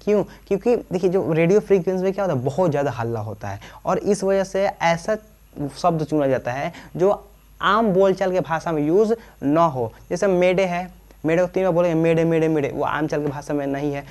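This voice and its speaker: Male teacher, Bihari accent, slightly high voice; colloquial explainer who keeps posing and answering his own questions. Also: fairly quick, darkish tone. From Bihar, India. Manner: repeatedly poses questions and answers them himself; colloquial, slips into local dialect. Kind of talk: informal classroom-style explanation.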